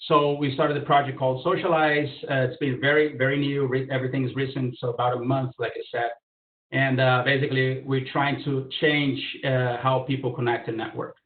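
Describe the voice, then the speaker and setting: A man from Brazil with a Brazilian Portuguese accent, introducing his startup on a virtual panel in a friendly, informal way. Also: mid-range voice. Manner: friendly, informal, with fillers and restarts. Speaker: startup founder. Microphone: video call. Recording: phone-like, roomy, far from mic.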